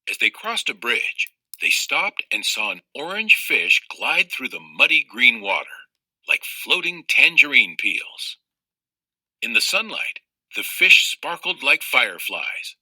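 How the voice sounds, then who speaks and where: A man reading a children's novel aloud in an expressive storytelling style. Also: medium pitch, slow, no room sound, poor audio. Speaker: audiobook reader of a children's novel.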